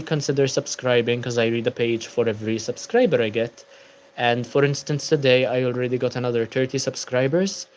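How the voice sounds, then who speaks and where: A man's voice: mid-range voice, a little mellow, slight background noise, rough recording. A tired young man hosting a casual book-channel livestream, chatting and answering viewer comments.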